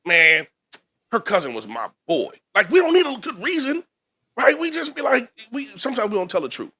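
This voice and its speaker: A man's voice: extremely high pitch, wildly swooping pitch, a little fast, bright, forceful tone, husky. A man co-hosting a casual podcast, chatting loosely with a friend, laughing often and repeating himself. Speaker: podcast co-host. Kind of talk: casual crude banter.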